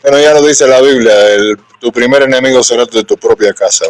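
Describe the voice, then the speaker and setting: A man from Cuba with a Cuban accent, hosting a live-stream interview in an earnest, unhurried way. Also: medium pitch, very noisy. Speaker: host.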